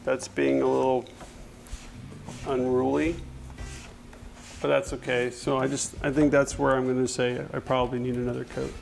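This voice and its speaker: A man's voice: mid-range voice, gravelly. A man in a workshop talking casually while he brushes and wipes stain, rambling and correcting himself mid-sentence, recorded on a lapel mic. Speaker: furniture refinisher. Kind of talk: casual how-to narration while working. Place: indoor workshop at a paint-spattered table. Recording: music playing under the voice. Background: music.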